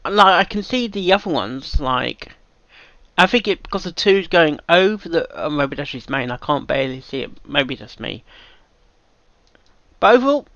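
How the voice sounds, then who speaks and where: A man hosting a reaction video, giving casual off-the-cuff commentary in a halting, rambling way and often repeating himself. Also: fairly high pitch, animated, a bit bright, no room sound, muffled recording.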